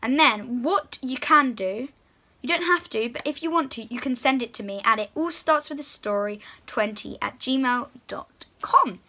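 A young girl hosts a read-aloud for small children. Her voice is high and clearly bright. She addresses her listeners in an excited, encouraging way, as if guiding them through a picture book at home. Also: normal speed. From England. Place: small room at home, indoors.

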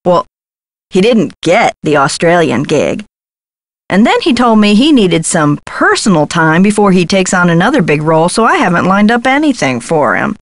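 A woman's voice: fairly low pitch, big pitch swings, moderate pace, no room sound, studio quality. A woman voicing a brash, scheming Hollywood talent agent in a video game, glib and condescending, with slangy talk. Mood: slick, self-assured.

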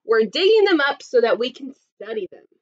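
Woman reading as a friendly bear professor, storybook, high-pitched, enthusiastic explainer, slightly dull sound. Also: very animated, a little fast. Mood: eager. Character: friendly, eager male bear professor of dinosaurs. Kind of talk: storybook character voice.

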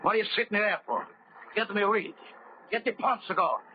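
An actor playing a brash, greedy, hot-tempered deep-sea diver in a 1930s radio drama, gruff and bossy. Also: male voice, normal speed, close to an everyday voice. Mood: impatient, angry. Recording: muffled, noisy old 1930s radio recording.

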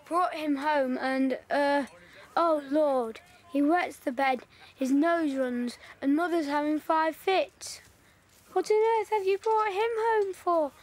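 Here is a gossipy village girl from wartime, as an actress plays her in a radio play. She talks high and eager, earnest and quick to chime in. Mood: chatty. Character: chatty local Yorkshire village girl in wartime. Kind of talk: audio drama acting.

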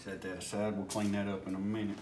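A man's voice: on the low side, level tone, fast. A man talking casually through his cooking in short folksy remarks, as a home video host. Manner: folksy, muttering step-by-step remarks. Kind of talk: casual cooking vlog, talking while working. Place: home kitchen, indoors. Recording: noisy room, loud foil crinkling. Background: loud crinkling foil.

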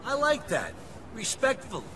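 An aging New mafia don in a video game. His voice is high and leaps around, effusive and streetwise with menace under it. Clear ambient noise sits behind him. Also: huge pitch swings, measured pace, bright, forceful tone, exaggerated voice. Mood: fond, praising. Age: older. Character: volatile, wheedling old mafia don. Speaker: mafia boss. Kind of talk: video game cutscene acting.

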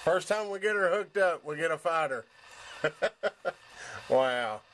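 Folksy man, higher-pitched, with background noise. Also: expressive, unhurried, a bit bright. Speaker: hobbyist demonstrating a radio set-up. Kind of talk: casual off-the-cuff demonstration. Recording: home camera with background noise.

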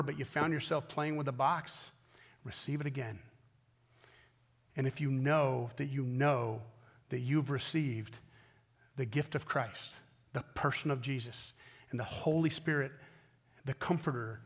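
An American man in his fifties preaching a sermon as a pastor, earnest and emphatic, with deliberate pauses and repetition. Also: mid-range voice, breathy edge, long reverb tail. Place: large indoor church auditorium stage at a wooden pulpit.

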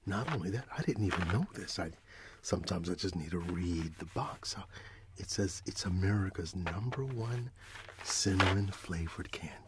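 A middle-aged man softly whispering in an ASMR roleplay as a friendly, chatty shopkeeper, close to the microphone. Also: low-pitched, moderate pace, very noisy. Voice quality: soft, mostly whispered. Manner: friendly, chatty, apologetic shopkeeper patter with repeated words. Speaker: ASMR roleplay performer playing a friendly candy shop owner.

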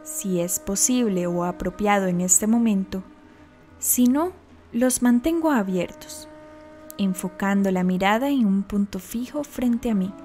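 A woman narrating a scripted guided meditation in a calm, deliberate, instructional manner. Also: medium pitch, faint music behind.

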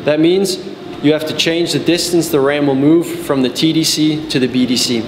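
A man's voice: fairly high pitch, fairly even intonation, background noise. Young man narrating in an echoey space. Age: 20s. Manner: measured, step-by-step explaining. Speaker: technical support and customer trainer. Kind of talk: scripted instructional narration. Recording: echoey, reverberant sound with background music. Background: background music.

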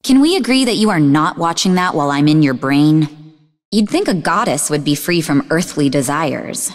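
An actress playing a sarcastic, sharp-witted young woman psychic who is easily exasperated, in a video game. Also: sits low, animated, moderate pace, long reverb tail. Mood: disgusted. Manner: sarcastic, teasing.

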